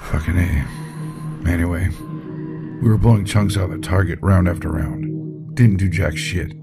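Male actor as low-pitched, plain-spoken captain, haunted by losing his squad, audio drama, prominent music bed. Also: moderate pace, vocal fry. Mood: bitter, agitated. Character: shaken, foul-mouthed military captain recounting losing his squad. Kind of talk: audio drama, interview log acting. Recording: voice over loud background music.

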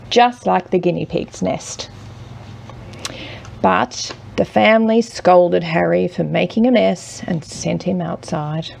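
A woman reading a children's picture book aloud as a mother would, expressively and with dramatic pauses. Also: mid-range voice, gravelly, breathy edge. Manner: measured, with dramatic pauses and hushed drawn-out words. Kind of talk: expressive children's storybook narration.

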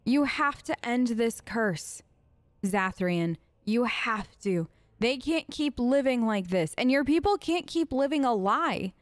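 Female let's play host, fairly high voice, conversational improvised role-play, close and dry. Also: expressive, slow, no echo. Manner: chatty, conversational.

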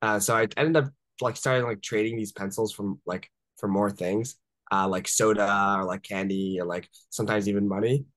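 Chatty young man, low dry voice. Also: fairly even intonation, normal speed, fairly bright tone, no room sound. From born in Japan, raised in Washington DC area. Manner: chatty, many fillers like "uh" and "like", laughs easily. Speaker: startup founder guest. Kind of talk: casual interview storytelling. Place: home room on a video call.

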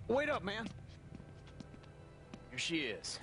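An actor playing a loyal, goofy male sidekick, eager, friendly and excitable. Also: high-pitched, takes it slowly, faint music behind, exaggerated voice.

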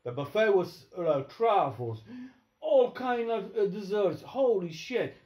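Podcast co-host, man, slightly high voice, loose rambling delivery, roomy home recording. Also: lively intonation, a little slow, a little mellow. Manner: broken English, laughing, rambling storytelling. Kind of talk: casual chat. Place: home room with a dog. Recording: echoey room.

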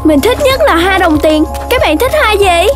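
A woman voicing an excitable little dalmatian puppy boy in a children's cartoon, childlike and expressive. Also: very high-pitched, normal speed. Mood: cheerful, eager. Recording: loud music behind the voice.

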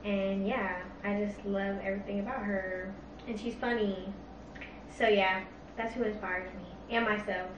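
A young woman from Florida in a casual family Q&A, sassy and playful, with quick slang. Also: mid-range voice, steady tone, dull audio. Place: indoor bedroom, on a couch. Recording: echoey room, mic at a distance, faint hiss.

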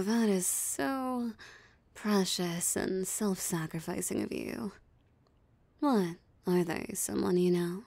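Woman acting as a smug teasing vampire lady for an asmr roleplay, mellow creaky voice, real close and dry. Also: medium pitch, no echo. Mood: gloating, commanding. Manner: teasing, drawling, theatrically smug, breathy asides. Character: possessive, mocking female vampire toying with a hunter. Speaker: voice actor. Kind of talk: ASMR roleplay audio drama.

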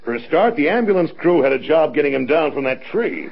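A senior air force colonel in an old radio play, brisk and reassuring. His voice is husky and gravelly, with authority behind it. The recording is dull, vintage lo-fi radio. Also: a little high, lively intonation. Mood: measured, reassuring. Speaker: actor. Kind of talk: mid-century radio drama acting.

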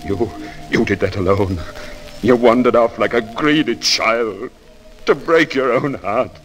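A man's voice: medium pitch, close to an everyday voice. An actor playing a tormented, passionate romantic man in theatrical, anguished 1940s radio drama style. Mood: bitter reproach. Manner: anguished, theatrical, slow declamation. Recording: old radio recording with music.

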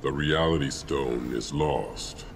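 An actor playing a menacing, imperious alien warlord in a game cutscene, speaking gravely and formally with a deep, gravelly voice. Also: male voice, slow pace, music in the background, exaggerated voice. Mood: grave, calculating. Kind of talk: video game cutscene acting.

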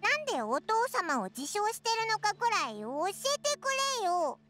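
Tiny excitable fairy girl, extremely high childlike squeak, blunt, anime game dub. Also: normal speed, faint music behind, heavily acted. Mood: curious, indignant. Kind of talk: Japanese anime-game voice acting.

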